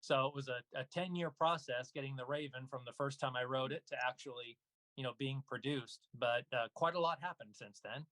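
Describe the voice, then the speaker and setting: A man's voice: medium pitch, fairly quick, a bit bright, no room sound, rough recording. A man talking casually as an interview guest, explaining his craft thoughtfully and step by step. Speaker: guest audio dramatist.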